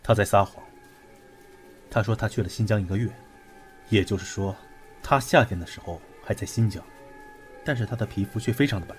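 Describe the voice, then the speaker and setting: Actor playing a low-voiced, brusque young investigator. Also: male voice, slight background noise, lightly acted. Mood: grave, analytical. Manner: deliberate, methodical, commanding. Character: brusque, analytical young man investigating a cursed book. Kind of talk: Chinese audio drama acting.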